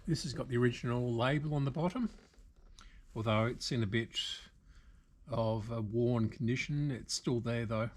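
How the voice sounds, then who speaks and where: An Australian man giving casual, unscripted hands-on repair commentary, halting now and then as he thinks aloud. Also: mid-range voice, darkish tone. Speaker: video host, radio repairer. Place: indoor workshop.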